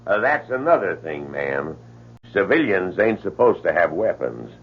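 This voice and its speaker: A husky-voiced Union corporal, firm yet polite. His pitch leaps dramatically between courtesy and command. The sound is that of a dull old radio recording. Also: big pitch swings, unhurried, heavily acted. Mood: firm, placating. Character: Union Army corporal, firm but courteous, reluctant soldier.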